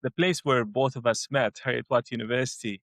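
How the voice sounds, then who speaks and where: A man's voice: medium pitch, fairly quick, fairly bright tone, dry recording, studio quality. A middle-aged man from Iraq talking in a thoughtful conversation, with frequent restarts and fillers. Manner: hesitant, many restarts and fillers. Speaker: educator, co-host. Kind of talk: conversational dialogue.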